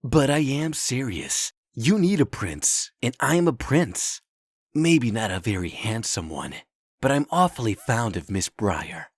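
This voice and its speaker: Man acting husky, bashful fairy-tale prince. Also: medium pitch, sing-song, no echo, clean studio sound, exaggerated voice. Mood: shy, earnest. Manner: timid, polite, halting. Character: meek, earnest, lovestruck frog prince, humble and bashful. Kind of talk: comic dub voice acting.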